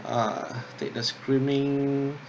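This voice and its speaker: A man instructor talking through an unscripted tutorial step by step, thinking aloud haltingly and often checking in with okay or yeah. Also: medium pitch, little pitch movement, lo-fi sound, slight background noise. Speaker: drama workshop instructor.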